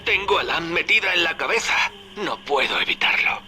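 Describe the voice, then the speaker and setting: Through a noisy radio comes a tormented man under mind control. His voice is strained, rather high and very sharp. He sounds resigned and apologetic, in dramatic game style. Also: animated, normal speed. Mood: anguished. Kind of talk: dramatic video game acting.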